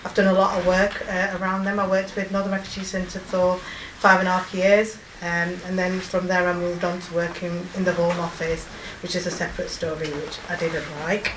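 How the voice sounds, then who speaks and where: Yorkshire woman, emotional halting testimony. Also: fairly low pitch, level tone, a bit bright, echoey room, distant mic, a little hiss or hum, rough recording. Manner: halting, emotional, many fillers and restarts. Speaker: volunteer. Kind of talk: personal testimony.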